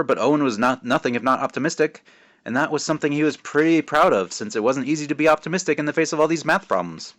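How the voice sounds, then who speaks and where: A man's voice: mid-range voice, fairly bright tone. A man speaking as a children's author, informally reading a storybook aloud with modest asides. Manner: self-deprecating, informal.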